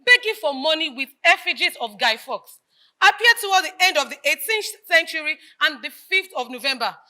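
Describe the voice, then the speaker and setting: A woman's voice: high voice, expressive, a little fast, bright, forceful tone, heavily acted. A woman acting on stage as a sceptical, questioning figure with a Nigerian accent, theatrical and challenging in her dialogue. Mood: explanatory.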